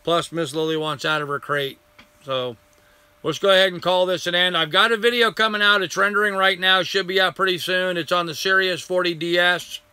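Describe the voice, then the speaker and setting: An older man hosts a live stream in a bright, clear voice that has gone a little hoarse. He rambles in a friendly way and greets viewers by name as he answers their comments. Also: sits high, fairly even intonation, brisk, no room sound.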